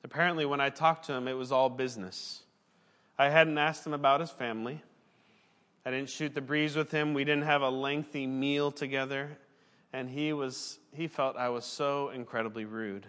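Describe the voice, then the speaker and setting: A man preaching in a church with an earnest, conversational delivery and a voice of middling pitch. He sometimes stops to correct himself and then carries on. A long hall reverb trails after his words. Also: steady tone, moderate pace, a bit bright. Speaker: pastor, former missionary. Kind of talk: sermon.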